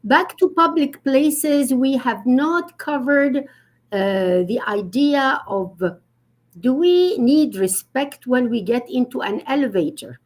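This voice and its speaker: A woman's voice: sits high, expressive, takes it slowly. A woman speaking as an etiquette expert in a live-stream conversation, explaining earnestly with frequent fillers.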